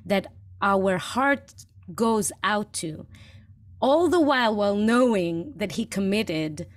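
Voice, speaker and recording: Slow, thoughtful Israeli-born woman. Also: mid-range voice, animated, dry recording. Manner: thoughtful, hesitant with many ums. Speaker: guest filmmaker. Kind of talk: interview answers.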